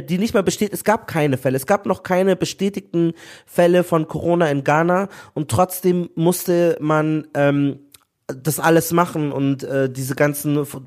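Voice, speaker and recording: A man from Nigeria telling stories in a relaxed conversation, breaking into laughter often. His voice sits a little higher than most men's, and his talk is animated and expressive. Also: normal speed. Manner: lively storytelling, laughs often. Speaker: guest. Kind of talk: casual chat.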